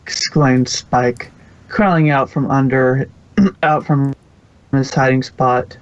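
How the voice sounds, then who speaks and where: A man's voice: mid-range voice, fairly even intonation, slow, dry recording. A man reading scripted storybook narration as the narrator of a fan-fiction audio reading, on a lo-fi home recording.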